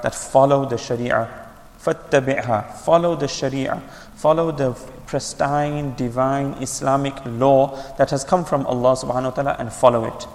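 A man's voice: mid-range voice, gravelly, long reverb tail. A man giving a religious lecture, explaining step by step, asking and answering his own questions and repeating key phrases. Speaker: Islamic teacher.

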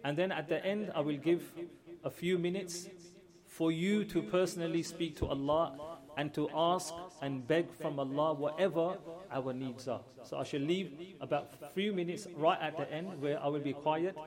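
A man's voice: fairly high pitch, moderate pace. A man leading prayers, giving an earnest address to his congregation and repeating phrases for emphasis; his first language is not Urdu. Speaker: imam. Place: large mosque hall with congregation. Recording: crowd audible behind.

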